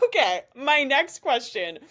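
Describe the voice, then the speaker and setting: A woman from Missouri hosting a casual interview over a video call, sassy and playful, with a deep voice by her own account. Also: a bit bright. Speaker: host, interviewer.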